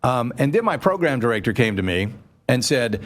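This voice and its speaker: A man's voice: medium pitch, moderate pace. A man hosting a morning radio show, talking conversationally and telling anecdotes in a folksy way. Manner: folksy, animated anecdote telling with acted-out dialogue. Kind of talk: conversational live talk radio storytelling. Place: on a stage before a live studio audience.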